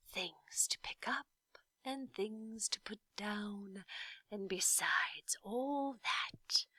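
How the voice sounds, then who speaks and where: A woman voicing a villainous faceless male demon of petty tasks for a storybook, sly and menacing, drawing out words and moving between snarls and soft deceitful whispers. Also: medium pitch, breathy edge, no echo, heavily acted.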